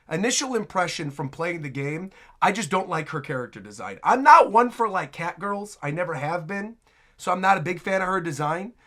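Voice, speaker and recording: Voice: mid-range voice, a bit bright. A reaction video host giving casual, off-the-cuff commentary on game trailers. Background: music from the trailers.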